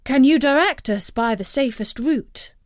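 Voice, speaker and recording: A woman's voice: high-pitched, a little slow, no room sound, studio quality, pitched above the performer's own voice. A woman voicing a noble, earnest male Greek hero in a storybook, speaking in a formal, declamatory way. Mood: courteous, earnest. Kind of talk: storybook character voice.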